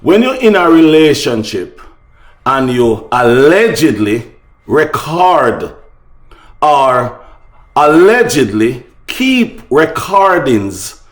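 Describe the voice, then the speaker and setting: A man's voice: on the high side, very animated, takes it slowly, roomy sound. A man with a Jamaican accent hosting casual opinion talk for his viewers, speaking emphatically and repeating phrases. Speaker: online talk show host.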